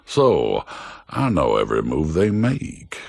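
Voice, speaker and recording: Pompous, wildly swooping cartoon schemer. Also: male voice, rumbling low, huge pitch swings, slow pace, vocal fry, no room sound, studio quality, exaggerated voice. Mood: smug, boastful. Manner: pompous, theatrical, boastful. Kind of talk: animated video game dialogue.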